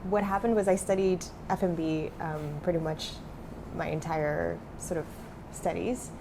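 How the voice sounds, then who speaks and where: A woman's voice: on the low side, fairly even intonation, a little slow, noisy background. A young adult woman who grew up in Switzerland, talking casually as a startup founder in an interview, with many fillers.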